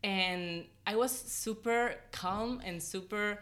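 Bright-voiced young woman, earnest and informal. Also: mid-range voice, takes it slowly. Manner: earnest, informal, frequent "like" and "you know". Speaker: podcast guest, former volunteer. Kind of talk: casual personal storytelling in an interview.

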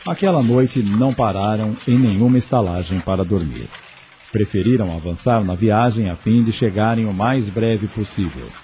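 Low, mellow male storyteller. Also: normal speed, a little hiss or hum. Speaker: narrator. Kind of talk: scripted radio drama narration.

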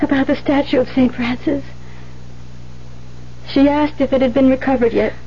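An actress playing an earnest, hesitant young nun in a 1970s radio drama, speaking in a halting, unsure way. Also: female voice, high-pitched, steady tone, dark tone, close to an everyday voice. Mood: sad, resigned. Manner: halting, unsure, repeats words. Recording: muffled, hissy 1970s radio recording with hum.

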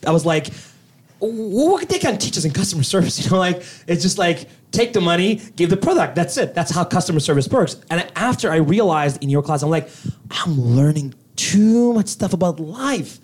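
Young man, Turkish accent, fairly high voice, excitable, slightly nervous, laughs a lot. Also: moderate pace, breathy edge. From Turkey. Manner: excitable, animated, laughs often. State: a little nervous. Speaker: guest. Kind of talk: casual interview chat.

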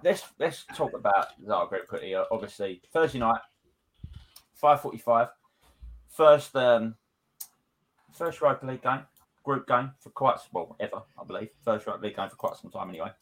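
A man's voice: mid-range voice, lively intonation, moderate pace. A man hosting a casual football discussion, speaking emphatically and repeating his phrases for effect. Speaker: podcast host leading discussion.

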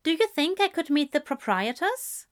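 A woman voicing a prim, polite gentleman in a lilting voice, raised well above her narration. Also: big pitch swings, normal speed. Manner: prim, lilting, higher and brighter.

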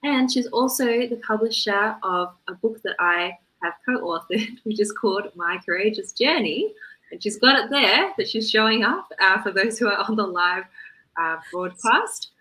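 A woman's voice: mid-range voice, animated, normal speed, pushed and bright, low-quality recording. A woman hosting a podcast interview, introducing her guest and asking questions in a chatty, loosely phrased way. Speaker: podcast host.

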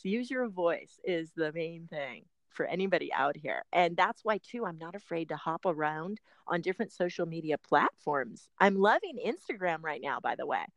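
A woman's voice: lowish voice, dry recording. A middle-aged woman hosting a podcast, chatting casually with frequent laughs and rambling asides. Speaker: podcast host and personal trainer.

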